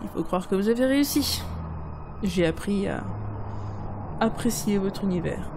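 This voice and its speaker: A woman playing a strong-willed, sardonic book editor in an audio drama, halting and expressive. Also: medium pitch, background noise, natural voice. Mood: guarded, sardonic. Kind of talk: French audio drama acting. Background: music.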